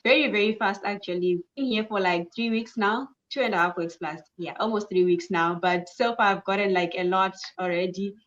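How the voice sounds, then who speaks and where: A woman's voice: medium pitch, brisk, few pauses, fairly bright tone, lo-fi sound. Cheerful Zimbabwean woman, repeating for emphasis. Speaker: guest. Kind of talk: casual interview answers.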